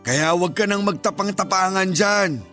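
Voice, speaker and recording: High-pitched, superstitious, nagging uncle. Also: male voice, moderate pace, pushed and bright, soft background music. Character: superstitious, bossy, uneasy older uncle. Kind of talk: Tagalog radio drama acting.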